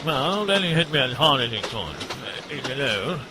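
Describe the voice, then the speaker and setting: A man's voice: medium pitch, very animated, moderate pace, very noisy, lightly acted. A man voicing a bumbling, vain doctor sidekick in a comedic audio drama, flustered and pompous.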